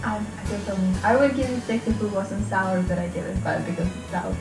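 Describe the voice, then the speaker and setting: A woman's voice: medium pitch, a little fast. A seventeen-year-old young woman from Norway, a native Norwegian speaker, chatting casually as a vlog guest. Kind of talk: casual chat. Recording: echoey room, distant mic, music underneath.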